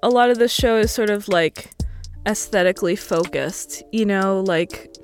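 A woman in her early thirties chatting casually as an interview guest, explaining things in a thoughtful, halting way. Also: mid-range voice, soft background music. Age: early 30s. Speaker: podcast guest.

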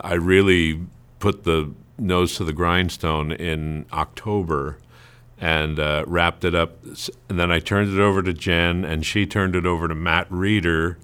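A man with a notably low voice talks casually in an interview. He tells his story as a string of anecdotes, voicing bits of remembered dialogue along the way. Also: a bit bright, smooth. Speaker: amateur playwright being interviewed.

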